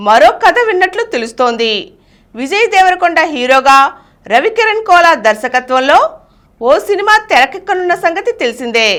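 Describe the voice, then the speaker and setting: Woman, news reader, very high voice, lively swooping intonation, scripted bulletin delivery. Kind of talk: scripted news reading with headlines.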